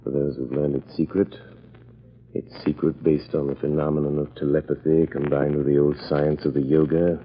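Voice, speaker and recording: Mysterious crime fighter, low husky voice, measured and dramatic, calm, vintage radio drama. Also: male voice. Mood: grave, mysterious. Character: mysterious crime-fighting man, calm, grave, secretly invisible avenger. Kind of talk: 1930s radio acting. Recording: muffled old-time radio recording.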